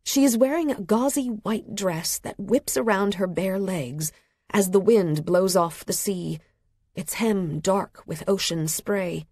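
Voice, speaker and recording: A woman reading literary fiction as an audiobook narrator, with a measured, expressive delivery and a slightly husky voice. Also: mid-range voice, no room sound, clean studio sound. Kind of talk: scripted literary fiction narration, present tense. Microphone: close studio microphone.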